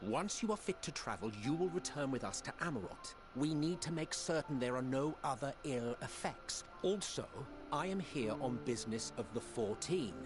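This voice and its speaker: A man's voice: mid-range voice, animated, normal speed, hardly any pauses, music in the background, lightly acted. An actor playing a sardonic, aloof male scholar in a voiced game cutscene, speaking with dry, slightly haughty wit.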